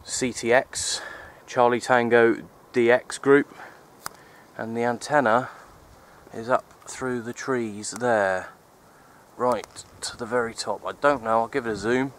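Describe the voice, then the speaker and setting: A man giving casual, halting, unscripted commentary for an outdoor hobby vlog, recorded on a handheld camera. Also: medium pitch, fairly even intonation. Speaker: vlogger, CB radio hobbyist. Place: open hillside under trees, outdoors. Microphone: handheld camera microphone.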